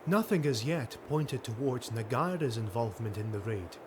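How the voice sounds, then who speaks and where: A man's voice: mid-range voice, expressive, moderate pace, noisy background. A man reading a fantasy audiobook as dramatic scripted narration.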